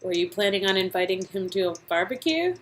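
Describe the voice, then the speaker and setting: A woman's voice: mid-range voice, lively intonation, a little fast, a little hiss or hum, close to an everyday voice. A woman reading the part of a sarcastic, wry young aspiring actress in a story game. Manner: wry, exclamatory.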